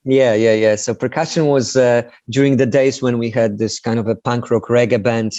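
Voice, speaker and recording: Man, relaxed musician guest. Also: mid-range voice, moderate pace, no room sound. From Poland. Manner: relaxed, self-deprecating, many fillers. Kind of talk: casual interview chat. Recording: dry, slightly dull sound.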